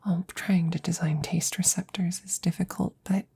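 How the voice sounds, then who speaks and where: A woman playing a worried, devoted, nerdy scientist best friend in an ASMR audio roleplay, speaking softly and breathily up close, often whispering, in a hesitant, rambling, reassuring way. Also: on the low side, steady tone, normal speed, breathy edge. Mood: tender, earnest. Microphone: close microphone.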